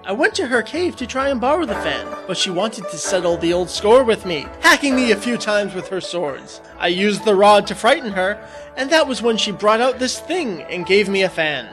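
Man playing a proud monkey hero, high voice, storybook reading, recounting a battle, music behind. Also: very animated, normal speed. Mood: proud, animated. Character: Monkey King disciple boastfully recounting his fight. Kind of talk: dramatic reading from a classic novel.

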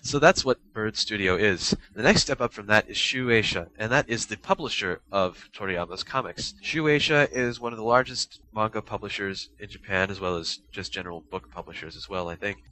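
A man's voice: fairly low pitch, pushed and bright, poor audio. A man co-hosting a podcast, explaining things in casual, informal chat with wry asides. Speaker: podcast co-host. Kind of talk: casual explanatory chat about anime fandom.

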